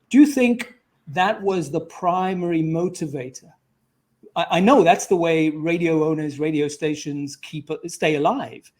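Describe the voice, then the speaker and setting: A man with a fairly high voice acts as interviewer. He speaks conversationally, framing questions and offering counterpoints to his guest. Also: darkish tone.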